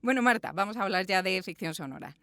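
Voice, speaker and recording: A woman's voice: mid-range voice, animated, quick pace, bright, forceful tone, dry recording, clean studio sound. A woman hosting a podcast interview, effusive and chatty. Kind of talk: warm podcast presenting and interviewing. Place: small sound booth.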